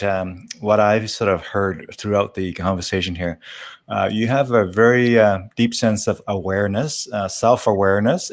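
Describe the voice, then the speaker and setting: A man with a fairly low voice hosts a conversational interview. He speaks thoughtfully, feeling out his phrases with small hesitations and restarts. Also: creaky. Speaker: interview host.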